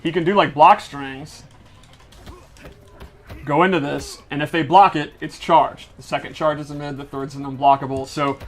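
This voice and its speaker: A man with a slightly high voice walks through fighting-game mechanics in a casual style. He is enthusiastic and technical, explaining things as he goes. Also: steady tone, moderate pace. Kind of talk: casual gameplay breakdown.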